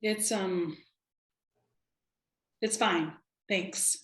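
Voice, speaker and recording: A woman's voice: medium pitch, very slow, fairly bright tone. A woman playing a tired, guarded, politely curt police agent in a table read of a screenplay. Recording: roomy, echoing home recording.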